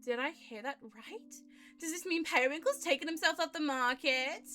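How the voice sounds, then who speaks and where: A woman's voice: sits high, moderate pace, bright, forceful tone, faint music behind. A woman voicing a flirty, gossipy nightclub regular from a visual novel, teasing and breezy. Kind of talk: visual novel read aloud.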